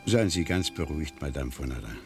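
Deep, creaky, talkative gentleman. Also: sing-song, a little fast, music in the background, full character voice. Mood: genial, ironic. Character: genial, garrulous male spa regular, drily ironic. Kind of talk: 1970s German radio play acting.

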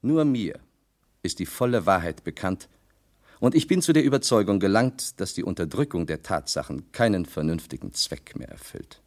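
An actor playing a grave doctor chronicler who narrates a radio drama in a solemn, dramatic manner. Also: male voice, low-pitched, big pitch swings, normal speed, darkish tone. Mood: grave resolve.

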